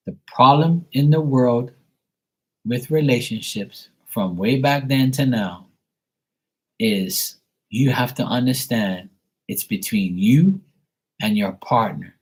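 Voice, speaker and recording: A man's voice: mid-range voice, lively intonation, slow pace, pauses often, darkish tone, breathy edge. A man from Bermuda, hosting a live stream, talking bluntly and profanely in a rambling casual rant. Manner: blunt, profane, rambling, acts out quoted voices.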